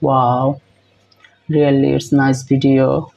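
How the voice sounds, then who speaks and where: A young man hosting a reaction video in halting, repetitive English with many fillers, filmed close on a phone in a small room. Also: mid-range voice, monotone, creaky, darkish tone, low-quality recording. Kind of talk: casual reaction commentary.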